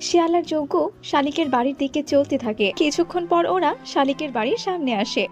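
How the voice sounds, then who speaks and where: Casting: female storyteller for children's tales, high voice, lo-fi track with music underneath. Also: a little fast. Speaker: storyteller narrator. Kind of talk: scripted children's story narration. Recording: dull lo-fi audio with music behind.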